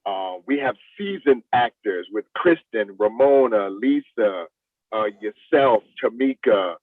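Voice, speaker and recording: A man being interviewed as a play director, answering in a halting way, repeating words as he searches for the next one. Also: fairly low pitch, lively intonation. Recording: roomy, thin radio-like lo-fi sound.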